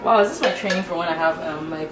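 A woman's voice: on the low side, fast talker, roomy sound, noisy background. A woman in her twenties with a Ghanaian accent, chatting playfully and teasing friends while vlogging from a kitchen on a handheld camera. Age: 20s. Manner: playful, teasing, laughs often. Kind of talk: casual vlog chat. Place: kitchen and grocery store indoors.

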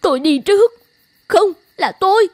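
A woman voicing an anxious, devoted female goose in an animated fairy tale, pleading and emotional. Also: very high-pitched, normal speed, dry recording. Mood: insistent. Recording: background music under the voice.

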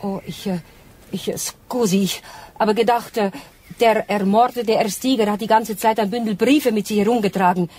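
An actress playing a nervous, apologetic waitress in a radio crime drama, stammering and flustered. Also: female voice, medium pitch, steady tone, moderate pace, darkish tone, husky, lightly acted.

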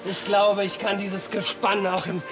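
An actor playing a pompous, boastful middle-aged teacher in a TV series, commanding and self-important. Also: male voice, sits high, level tone, unhurried, loud music behind the voice. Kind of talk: TV series acting. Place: outdoor castle courtyard. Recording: outdoor location sound with background ambience.

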